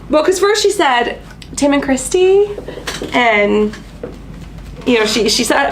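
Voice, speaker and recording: A woman's voice: on the high side, creaky, a little hiss or hum. A woman hosting a casual craft stream, sounding stuffy and nasal from allergies, chatty with lots of fillers. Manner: chatty storyteller, lots of fillers and excited asides. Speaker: host of a craft channel. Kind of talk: casual chat on a live stream.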